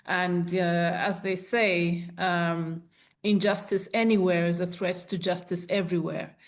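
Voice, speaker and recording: A woman's voice: lowish voice, level tone, a little slow. A woman from Zambia moderating and closing a press conference, composed and courteous.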